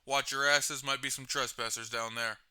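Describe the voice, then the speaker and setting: A man playing a gruff, short-tempered security control room boss in an audio drama, curt, profane and commanding. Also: medium pitch, fairly even intonation, moderate pace, sharp tone. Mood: commanding, tense. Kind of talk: radio dispatch in an audio drama.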